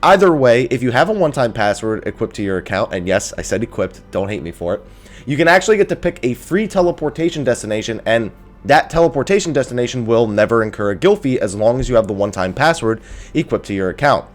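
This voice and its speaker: A man's voice: medium pitch, sing-song, quick pace. A man hosting a casual gaming news update, sounding nasal and stuffy from just waking up, chatty with self-amused asides.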